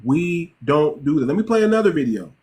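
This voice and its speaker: A male host with a high voice speaks emphatically. He cuts in often during a heated live-stream argument. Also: sing-song, fairly quick, darkish tone.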